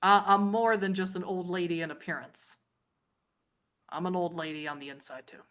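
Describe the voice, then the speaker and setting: A woman voicing a weary, wary old lady vampire informant, speaking in measured statements with dramatic pauses. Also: fairly low pitch, fairly bright tone, natural voice. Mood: weary, defensive. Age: older.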